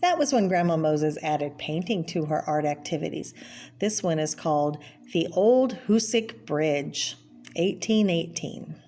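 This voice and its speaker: A woman's voice: sits low, lively intonation, measured pace, dark tone. A woman reading a children's picture book aloud, explaining the pictures and addressing the listener with small asides. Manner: explanatory, pointing out pictures and asking the listener questions. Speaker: read-aloud storyteller. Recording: clear background noise.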